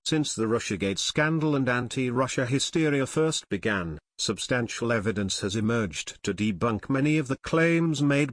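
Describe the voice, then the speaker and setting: A man's voice: mid-range voice, no echo, studio quality. An American man narrating scripted political news commentary in an opinionated, rhetorical manner.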